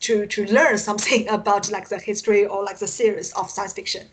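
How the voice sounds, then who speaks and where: A woman from China with a Chinese accent, a native Mandarin speaker, answering interview questions as an academic guest, haltingly searching for words. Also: mid-range voice, steady tone, slightly rough. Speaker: interview guest, university teacher and researcher. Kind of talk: unscripted interview conversation.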